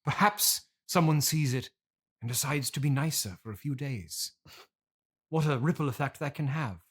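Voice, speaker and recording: A man's voice: mid-range voice, fairly bright tone, husky. A man playing a pompous, earnest stage actor with a Received Pronunciation British accent, speaking theatrically and grandly as the guest in an improvised comedy interview. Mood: grandiose, earnest. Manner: theatrical, grandiose, drawn-out declamation. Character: pompous classically trained stage actor, earnest and self-important.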